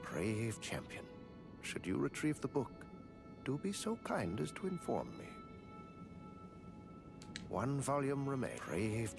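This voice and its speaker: A scholarly man of a dark fantasy game, guardian of cursed lore. He speaks with formal, old-fashioned courtesy, his pitch rising and falling expressively over clearly audible music. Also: big pitch swings, heavily acted. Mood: grave, intrigued. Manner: formal, measured, archaic phrasing. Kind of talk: scripted fantasy game dialogue.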